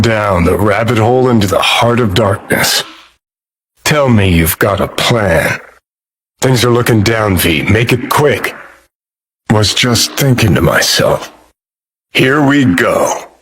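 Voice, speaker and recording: A man's voice: on the low side, lively intonation, slow pace, fairly bright tone, exaggerated voice. An American man playing a cynical, world-weary rebel rock star in a video game, with a sardonic, clipped drawl and plenty of swearing. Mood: wry, tense. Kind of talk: video game character dialogue.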